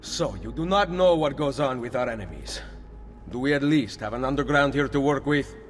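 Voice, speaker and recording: An actor playing a confident, determined Renaissance assassin leader with an Italian accent in a video game cutscene. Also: male voice, medium pitch, moderate pace, long reverb tail, slight background noise, lightly acted. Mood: challenging.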